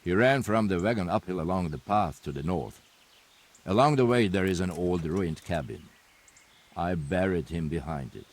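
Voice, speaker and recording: An actor playing a stern knight who calmly reports on forged coins in a video game cutscene, speaking bluntly and without fuss. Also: male voice, low-pitched, moderate pace. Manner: blunt, matter-of-fact reporting. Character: grim male knight investigating counterfeiters.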